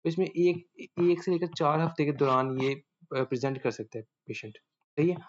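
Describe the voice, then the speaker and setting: A man giving a casual medical lecture, often pausing to check that listeners follow. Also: fairly high pitch. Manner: chatty, checks understanding often. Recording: dull lo-fi audio.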